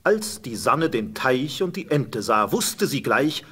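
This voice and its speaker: A man narrates a fairy tale in a mid-pitched, husky voice. His intonation is animated as he tells the scripted story. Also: slow pace.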